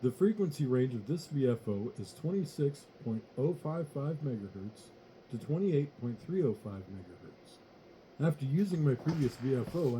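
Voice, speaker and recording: A man narrates a scripted how-to in a very soft-edged, dark voice at a middle pitch. His delivery is measured and matter-of-fact, with faint noise in the recording. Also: low-quality recording. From United States. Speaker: electronics tutorial narrator.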